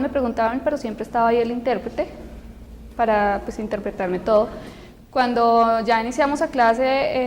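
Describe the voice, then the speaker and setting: A woman interpreting aloud for an interviewee, halting with many fillers and restarts. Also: a little high, in a large hall, a little hiss or hum. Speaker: sign language interpreter voicing a deaf student. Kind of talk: interview answer, spoken interpretation.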